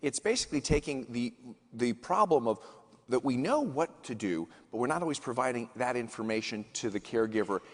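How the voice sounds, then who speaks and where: A man's voice: mid-range voice, animated, a little fast. A male chief executive answering questions on a conference panel, earnest, often restarting his phrases. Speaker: CEO guest speaker. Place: conference stage in a large hall. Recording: long hall reverb.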